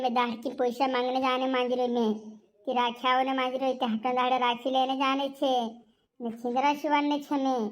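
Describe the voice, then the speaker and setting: A performer voicing an excitable village girl in a comedy cartoon, chattering and repeating phrases insistently with a rural western Madhya Pradesh accent. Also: high-pitched. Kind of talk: cartoon dialogue in a rural dialect.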